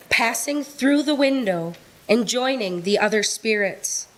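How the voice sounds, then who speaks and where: An American woman with a medium-pitched voice serves as narrator and announcer. She reads from a script in radio drama style. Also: poor audio.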